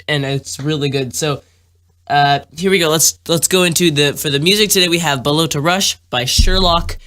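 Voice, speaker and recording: Nasal, sick young male podcast host. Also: on the high side. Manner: excited, rambling, many fillers. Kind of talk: casual podcast talk.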